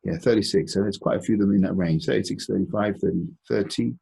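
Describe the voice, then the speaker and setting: A man with a low, gravelly voice gives an informal investment briefing. He explains things conversationally and deliberately, often halting as he goes, in a slightly dark, mellow tone. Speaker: investment presenter hosting a conference call. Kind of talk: informal stock analysis talk.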